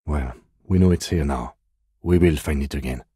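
A middle-aged police detective in a video game, with an extremely low male voice. He is composed and precise, choosing his words with restraint. The sound is dry and close. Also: normal speed, dark tone, husky, no room sound. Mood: sober, focused. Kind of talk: video game voice acting, understated dialogue.

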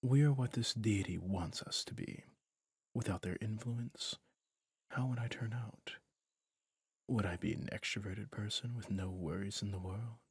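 Man acting a husky, weary fantasy villain. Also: fairly low pitch, no echo, studio quality, heavily acted. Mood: wistful, lonely. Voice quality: breathy, husky, often near a whisper. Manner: slow, brooding, confiding with pauses. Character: weary, lonely male fantasy villain, resigned and tender. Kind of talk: intimate ASMR roleplay drama.

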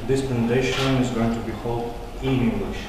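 A man's voice: medium pitch, fairly even intonation, brisk. A man in his thirties, a native Russian speaker, giving a tech conference talk in English with many fillers and restarts. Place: large conference room. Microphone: handheld mic, far camera. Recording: echoey hall recorded from far off, noisy.

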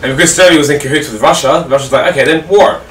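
A man's voice: fairly high pitch, quick pace. An Australian man casually telling history stories over gameplay, rambling, swearing and repeating himself. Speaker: storyteller on a gaming video. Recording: roomy, distant mic, faint noise.

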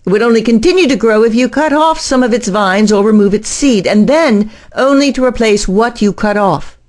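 A woman's voice: fairly high pitch, moderate pace, darkish tone, natural voice. A woman playing a middle-aged, earnest and driven scientist in an audio drama, speaking formally and insistently. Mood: proud, intent.

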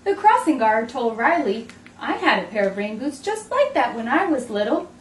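A woman in her thirties reading a picture book aloud to preschoolers with expressive, deliberate delivery. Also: a little high. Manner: expressive, clearly paced delivery for young children. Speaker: children's storytime host. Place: indoors in a room, standing before backdrop. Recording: roomy, distant mic, faint noise.

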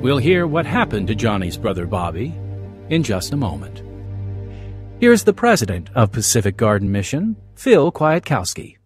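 A man's voice: medium pitch, sing-song, normal speed, music in the background. A man reading scripted radio narration as the show's announcer.

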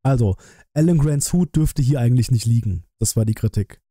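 Very dark-voiced man chatting casually. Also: medium pitch, fairly quick, no echo, clean studio sound. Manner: colloquial, blunt, thinking aloud. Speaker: livestream host. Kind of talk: casual livestream chat and trailer reaction. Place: small decorated streaming room indoors. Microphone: close desk microphone, headset worn.

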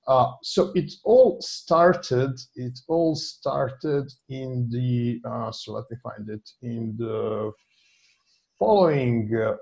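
Halting man with a gravelly voice. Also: mid-range voice, a little mellow. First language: Serbian. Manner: halting, many fillers and restarts while clicking through a screen. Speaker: data scientist presenting a dashboard. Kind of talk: screen-share presentation at an online meeting. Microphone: video call.

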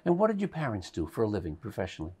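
A man's voice: lowish voice, huge pitch swings, racing, darkish tone, breathy edge. A man interviewing a guest, asking short questions and offering brief encouraging replies.

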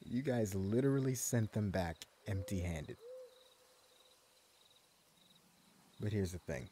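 A man's voice: on the low side, animated, a little slow, warm tone, a little hiss or hum. A man voicing a menacing post-apocalypse gang leader in an audio roleplay, speaking in a taunting, mock-friendly drawl. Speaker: voice actor.

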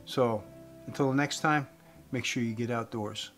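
A man in his fifties giving an earnest evangelistic talk to camera as a host, phrasing deliberately with emphasis. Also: medium pitch, steady tone. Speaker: host giving a faith message. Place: indoors, room with wooden beams. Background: guitar music.

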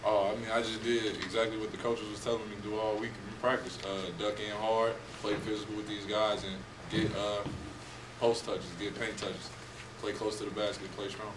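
A man's voice: fairly low pitch, flat delivery, moderate pace. A young man who plays college basketball, answering press questions in a plain, casual way. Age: young adult. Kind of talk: postgame press conference answers. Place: press room at a table before a team backdrop. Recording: echoey room, distant mic, noisy.

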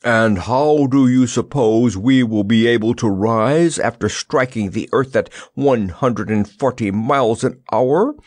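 A man's voice: a little high, animated, slow pace, gravelly, breathy edge, no echo, studio quality, pitched above the performer's own voice, exaggerated voice. A man voicing a big, gloomy, sarcastic cowardly lion in a storybook, roaring and plaintive.

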